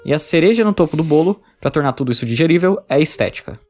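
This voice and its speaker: A man's voice: fairly high pitch, expressive, rapid-fire, a little mellow. A Brazilian man narrating a scripted review as a video essayist, informal and opinionated. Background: background music.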